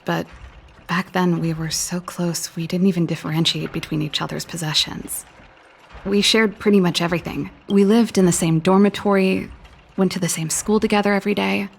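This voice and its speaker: A woman voicing a spirited, wistful young elder sister who invents and plays music in a video game, with a breezy, expressive delivery. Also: on the low side, creaky. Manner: breezy, expressive, with sighs and trailing reflection. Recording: studio voice over background music. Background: game background music.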